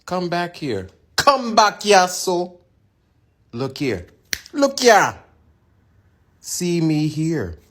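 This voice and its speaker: A man's voice: high-pitched, huge pitch swings, extremely slow, long pauses, fairly bright tone. A man with a Jamaican accent giving a phrase-by-phrase language lesson, saying each phrase plainly and then in dialect. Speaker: language demonstrator.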